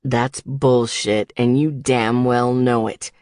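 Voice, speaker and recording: A woman voicing a gruff, protective male biker in an audiobook, speaking quietly and bluntly in a put-on low voice. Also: fairly even intonation, takes it slowly, no room sound, studio quality. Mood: firm, calm. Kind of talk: audiobook character dialogue.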